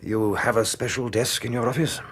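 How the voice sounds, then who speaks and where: An actor playing a formal, authoritative older British aristocrat and government minister in a radio drama. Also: male voice, medium pitch, fairly even intonation, normal speed, a bit bright, slightly rough. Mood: grave, confidential.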